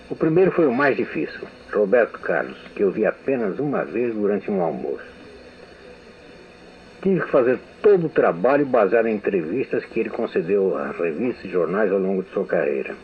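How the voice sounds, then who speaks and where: An older man, a veteran radio writer, calmly reading out his memoirs of his working life. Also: fairly low pitch. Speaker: radio writer giving a testimony. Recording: tinny lo-fi archival audio with hiss.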